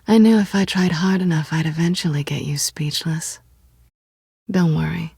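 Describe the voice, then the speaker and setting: An American woman playing a sly, guarded cat-burglar in a video game, teasing and coolly wry, with a husky, breathy voice. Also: low voice, takes it slowly, darkish tone, no room sound, clean studio sound, heavily acted.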